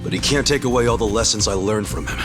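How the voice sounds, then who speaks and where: A man's voice: fairly low pitch, fast talker, over music, natural voice. A man playing an earnest, brash middle-aged yakuza in a video game, talking in a casual, frank and heartfelt way. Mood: earnest admiration.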